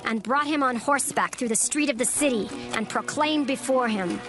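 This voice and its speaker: A woman narrating a dramatized scripture recording in a measured, solemn storytelling manner. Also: high-pitched. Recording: narration over clear background music. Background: background music.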